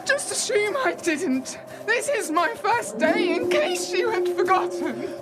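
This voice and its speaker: A woman's voice: very high voice, very animated, a little slow, full character voice. An actress playing a sceptical, flustered, sarcastic woman in a radio play, with music behind her. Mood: incredulous. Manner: incredulous, sarcastic, exasperated. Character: sceptical, flustered young woman, sarcastic London newcomer fleeing werewolves. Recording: loud music behind the dialogue.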